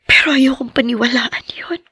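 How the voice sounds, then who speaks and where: A woman's voice: a little high, steady tone, normal speed, slightly rough, no echo, clean studio sound, exaggerated voice. An actress playing a young woman named Danny who cries and whispers, mourning her late mother in a hospital room. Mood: grieving. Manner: soft tearful whispering. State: crying. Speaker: lead character. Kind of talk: dubbed TV drama acting.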